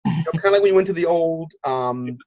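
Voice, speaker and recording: A man hosting a casual podcast discussion, halting as he thinks aloud. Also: fairly high pitch, darkish tone, no echo, lo-fi sound. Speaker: podcast host.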